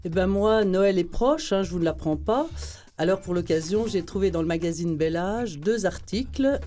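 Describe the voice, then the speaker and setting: A woman from France with a French accent, a guest columnist on a radio show, friendly and brisk. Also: fairly low pitch, dark tone, soft background music.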